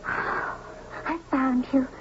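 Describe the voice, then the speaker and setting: A tender young sea maiden speaks high and slowly, earnest and full of longing. The sound is a muffled old radio drama recording with faint noise. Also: female voice, fairly even intonation. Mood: tender, intense. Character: young sea woman, sea king's daughter, tender and lovestruck. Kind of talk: 1970s radio drama acting.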